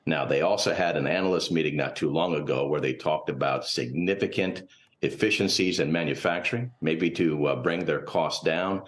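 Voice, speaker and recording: A man's voice: very deep voice, moderate pace. A man speaking as a guest financial analyst, giving unscripted, cautious and analytical commentary in a TV interview. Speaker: portfolio manager and finance professor, guest analyst.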